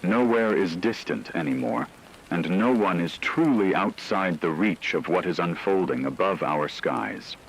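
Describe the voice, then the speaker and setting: A man acting as a radio news anchor, delivering scripted breaking news in a grave, formal, measured manner. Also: deep voice, vocal fry, a little hiss or hum, poor audio. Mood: grave, urgent. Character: grave male radio newsman reporting an apocalypse.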